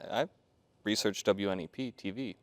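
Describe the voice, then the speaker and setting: A man's voice: on the low side, normal speed. A young man hosting an interview, reading formal questions in an earnest, slightly nervous way. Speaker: host, student interviewer.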